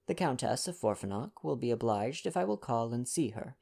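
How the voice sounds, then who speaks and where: A man voicing a fastidious, vain older Belgian detective from a storybook, speaking in formal, courteous foreign phrasing. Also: medium pitch, animated, creaky, warm tone, breathy edge. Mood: intrigued. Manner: formal foreign phrasing, sighing self-importance. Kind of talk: storybook dialogue reading.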